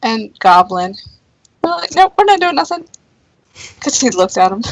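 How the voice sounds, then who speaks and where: Teasing woman co-host, a bit high. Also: big pitch swings, normal speed, dry recording, rough recording. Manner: dry, teasing quips. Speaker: podcast co-host. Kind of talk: casual chat.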